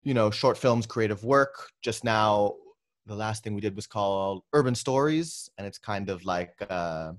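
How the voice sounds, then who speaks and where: Chatty low-voiced young man on a video call. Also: measured pace. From Philippines. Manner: relaxed, chatty, many ums and restarts. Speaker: guest filmmaker. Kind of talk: casual interview conversation. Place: bedroom at home.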